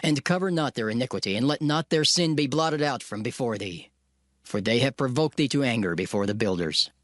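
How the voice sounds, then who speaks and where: A man narrating a dramatized scripture reading in a measured, solemn cadence, with loud music under his voice. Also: medium pitch, lively intonation, dry recording, clean studio sound.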